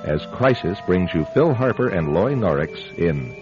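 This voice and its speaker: Over clear music on a dull radio recording, a male announcer introduces a mystery. His low voice is measured and suspenseful, with dramatic swings in pitch. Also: lively intonation. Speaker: announcer and host.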